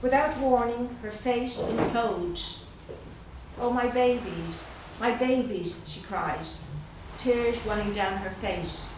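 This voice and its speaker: A woman's voice: fairly high pitch, distant mic, background noise. Irish woman reading poems in an echoey room. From Dublin, Ireland. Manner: slow, measured reading with pauses; chatty and hesitant between poems. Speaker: guest poet. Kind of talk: poetry reading at a literary evening. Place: event room at a literary gathering.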